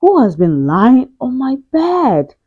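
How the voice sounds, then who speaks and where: A woman voicing a puzzled, medium-sized mother bear from a children's storybook, asking measured questions in a moderate voice. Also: sits high, very animated, slightly rough, narrow, tinny audio, heavily acted.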